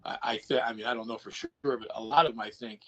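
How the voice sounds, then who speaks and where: A man talks over a video call in a relaxed, loose way. He circles back on his own words, restarts sentences and repeats phrases as he talks about paranormal work. His voice sits at an ordinary middle pitch. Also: quick pace, muffled recording. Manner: rambling, repeats himself with many restarts. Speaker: paranormal investigator. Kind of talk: casual video-call chat.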